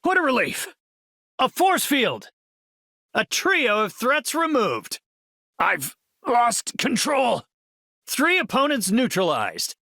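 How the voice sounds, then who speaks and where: A composed, brilliant superhero team leader from a video game. His voice sits fairly high for a male and moves around expressively. He speaks like an earnest scholar, precise and heroic, in a very dry studio sound. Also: huge pitch swings, slow pace, pauses often, pushed and bright, no room sound, exaggerated voice. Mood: triumphant, then confident, determined, then urgent. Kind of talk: video game character voice lines.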